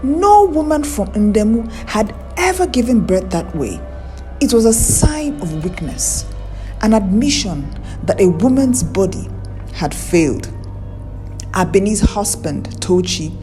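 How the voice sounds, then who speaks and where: A woman tells a folktale with measured drama. Her pitch moves expressively while the timbre stays mellow, and she takes her time. Music plays faintly behind her. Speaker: storyteller, narrator. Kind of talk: scripted narration of a folktale.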